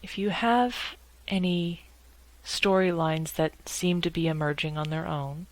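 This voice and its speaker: A woman guiding a meditation in a measured, instructional way, speaking in short phrases with pauses between them. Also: on the low side, fairly even intonation, no echo, low-quality recording. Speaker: guided meditation leader. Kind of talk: guided meditation narration.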